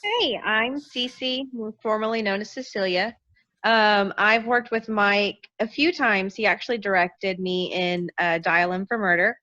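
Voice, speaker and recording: A woman's voice: medium pitch, unhurried, sharp tone. A young adult woman chatting casually on a video call as she introduces herself as an actor. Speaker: actor and understudy reading stage directions. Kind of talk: casual chat. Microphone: video call microphone. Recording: dull, lo-fi video call audio.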